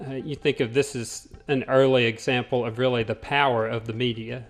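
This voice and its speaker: A man's voice: medium pitch, little pitch movement, fairly quick, soft background music. A man from a community theater talking reflectively in an interview. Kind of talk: interview talk.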